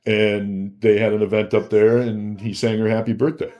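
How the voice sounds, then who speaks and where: Low-voiced man telling stories casually. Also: level tone, moderate pace, mellow. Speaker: interview guest, former bodyguard. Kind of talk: casual anecdotal chat.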